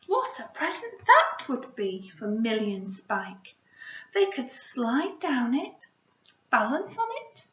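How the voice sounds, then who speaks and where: Teacher lady reading to kids, really expressive high voice, echoey slightly muffled room. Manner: expressive, with hushed asides to the listeners. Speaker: primary school teacher. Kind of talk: storybook read-aloud for children. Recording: roomy echo, slightly dull sound.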